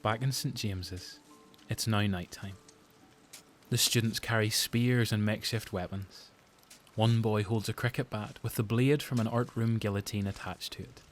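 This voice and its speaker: A man's voice: on the low side, steady tone, moderate pace. A man with a Northern Irish accent giving a scripted, dramatic narration for an audio drama. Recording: prominent music behind narration.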